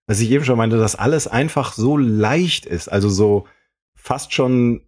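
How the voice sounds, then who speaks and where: A man's voice: mid-range voice, a little slow, darkish tone. A man talking casually as a panelist in a podcast discussion.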